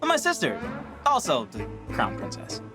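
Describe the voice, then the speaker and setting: A man's voice: a little high, wildly swooping pitch, unhurried, music in the background. A man playing a laid-back, cheerful young prince in a video game, casual and playful.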